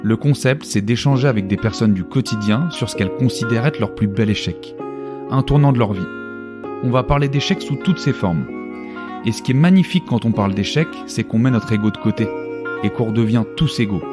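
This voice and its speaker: A man's voice: medium pitch, fairly quick. A man hosting a podcast, upbeat and motivational, speaking straight to the listener and asking his guest questions. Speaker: podcast host. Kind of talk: podcast intro and interview questions. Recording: music playing behind the voice. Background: guitar music.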